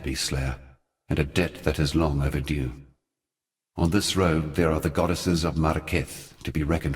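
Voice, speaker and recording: An ancient fallen deity with an extremely low voice. He speaks like a weary, eloquent schemer from a fantasy game, every phrase grave, archaic and measured. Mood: stern resolve. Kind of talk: theatrical scripted fantasy game dialogue.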